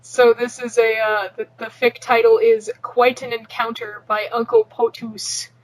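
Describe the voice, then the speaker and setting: A woman's voice: fairly high pitch, little pitch movement, measured pace, a little hiss or hum, poor audio. A woman hosting a podcast, chatting informally and reading fan fiction aloud in an amateur, sweary, offhand way. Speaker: podcast host.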